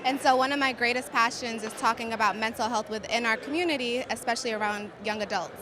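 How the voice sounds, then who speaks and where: A woman from Uganda answers questions in a composed, articulate voice, a little high. A crowd chatters clearly behind her. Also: quick pace. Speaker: conference panelist. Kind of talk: interview answers.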